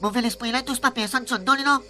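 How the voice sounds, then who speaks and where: Fast, high-voiced cocky street tough. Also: female voice, pushed and bright. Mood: cocky bragging. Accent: Réunion Creole. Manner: cocky, slangy, rambling. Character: boastful cowardly young street tough, full of excuses. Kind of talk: comic radio serial acting.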